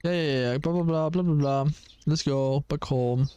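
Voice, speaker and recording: High-pitched man commentating on a game. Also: moderate pace, warm tone, muffled recording. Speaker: gaming video host. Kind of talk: casual game playthrough commentary, reading dialogue aloud. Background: music behind the voice.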